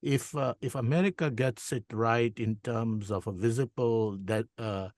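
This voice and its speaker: A man's voice: mid-range voice, steady tone, a little slow, a little mellow, no echo. A man in a podcast conversation, musing aloud and searching for words.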